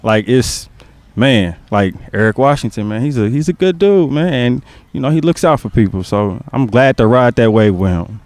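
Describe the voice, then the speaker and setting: A man's voice: mid-range voice, animated, moderate pace, darkish tone, no echo. Joking laid-back young man. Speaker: stage actor. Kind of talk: casual interview chat.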